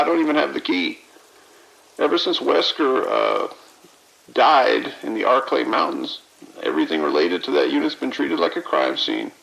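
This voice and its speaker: A man's voice: mid-range voice, gravelly, fairly bright tone, full character voice, low-quality recording. A man playing a weary, overwhelmed but friendly police officer in an audio drama, talking informally with exasperation. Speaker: police officer at operations desk. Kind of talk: audio drama acting, phone call.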